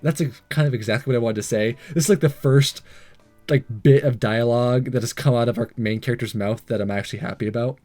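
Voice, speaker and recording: A man's voice: medium pitch, dark tone. A man doing a let's play, reading a visual novel aloud with casual, sarcastic asides and the odd stumble over words. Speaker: let's player.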